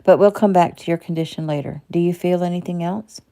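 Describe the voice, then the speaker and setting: A woman voicing a calm male doctor who questions his patient quickly and evenly. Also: on the low side, lightly acted. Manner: calm, probing.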